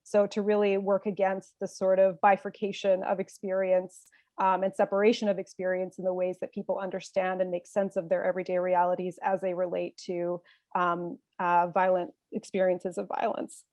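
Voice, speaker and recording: A woman professor answers at length in a fairly even, level tone, circling through her points with steady hesitations. Her voice is close and dry, with no room sound. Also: lowish voice, moderate pace, no room sound. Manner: earnest, expansive, filler-laden. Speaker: panelist, professor. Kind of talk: academic panel answer.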